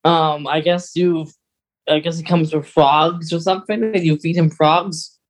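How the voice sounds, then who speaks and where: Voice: mid-range voice, fairly even intonation, unhurried. A podcast co-host chatting casually about fan topics, thinking aloud in a hesitant, rambling way.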